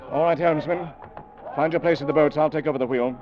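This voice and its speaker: An actor playing a haunted, stubborn, grieving sea captain in a 1940s radio drama, halting and brooding yet commanding. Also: male voice, fairly high pitch, steady tone, natural voice. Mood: stern command. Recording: muffled, noisy old-time radio recording.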